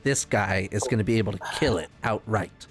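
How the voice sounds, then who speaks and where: A man's voice: on the low side, a little fast, music in the background. A man playing a tabletop game, chatting casually in a playful, jokey way and swearing freely. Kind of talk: casual excited game chat.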